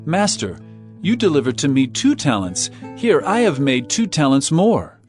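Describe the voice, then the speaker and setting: A man's voice: a little high, sing-song, normal speed, a little mellow, faint music behind. A man voicing a humble, earnest servant who speaks in a deferential, pleading way in a scripture dramatization. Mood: proud, eager. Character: humble, earnest male servants and questioning righteous.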